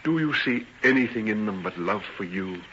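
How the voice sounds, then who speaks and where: A genteel, tormented doctor with a wry, teasing streak, in old-time radio style. The voice is low and very sharp, theatrically phrased. The recording is dull, an aged radio broadcast. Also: male voice, animated, normal speed. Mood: anguished, tender. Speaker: actor in a radio serial. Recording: dull, faintly noisy vintage radio recording.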